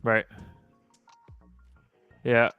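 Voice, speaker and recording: A man in his thirties hosting a casual podcast livestream, easygoing and quick to laugh as he chats and reads out viewer comments. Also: no room sound, narrow, tinny audio, faint music behind. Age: 30s. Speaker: podcast host.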